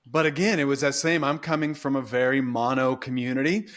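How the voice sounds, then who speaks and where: A man's voice: medium pitch, fairly even intonation, a little fast, a bit bright. A middle-aged man from California hosting a faith podcast, chatting with asides as he interviews his guest. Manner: conversational, asks questions, rambling asides. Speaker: podcast host.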